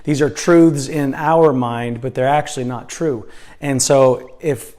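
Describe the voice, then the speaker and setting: A hoarse man talking earnestly as a life coach in a casual vlog, indoors in a slightly echoey room, with frequent fillers and restarts. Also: medium pitch, mellow.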